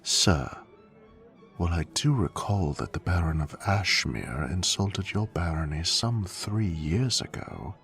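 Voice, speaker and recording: A man's voice: low-pitched, animated, slow pace, creaky, a bit bright, faint music behind, heavily acted. A man playing an elegant, dryly witty fae butler in an audio drama, speaking with formal, courtly politeness. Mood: dry, admonishing. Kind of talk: scripted audio roleplay acting.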